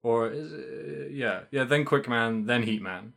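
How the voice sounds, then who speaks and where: A man's voice: mid-range voice, a little slow, vocal fry, a bit bright. A man co-hosting a podcast, chatting casually in a rambling, hesitant way with lots of fillers and restarts. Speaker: podcast co-host. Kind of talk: casual chat.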